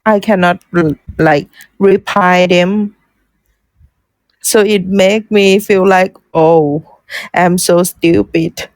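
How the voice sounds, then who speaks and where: Slow, hesitant Thai-accented young woman. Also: lowish voice, fairly even intonation, no room sound. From Thailand. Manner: hesitant, searching for words, many restarts. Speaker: guest, content writer. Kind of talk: casual English conversation.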